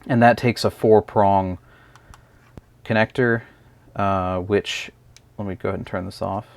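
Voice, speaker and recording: A man's voice: on the low side, steady tone, measured pace, gravelly, darkish tone, slight background noise. A man giving a casual, unscripted hands-on product review, explaining step by step in a hesitant way.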